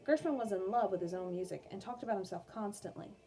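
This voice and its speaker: Mellow woman reading aloud over music. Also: mid-range voice, animated, quick pace, low-quality recording. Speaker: music teacher and read-aloud host. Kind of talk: read-aloud of an educational biography for children. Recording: music playing behind the voice. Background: background music.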